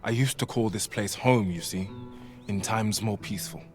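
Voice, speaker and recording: An actor playing a polite, earnest displaced villager in a fantasy video game, with courteous archaic phrasing. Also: male voice, on the low side, normal speed, over music, natural voice. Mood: cautious, apologetic.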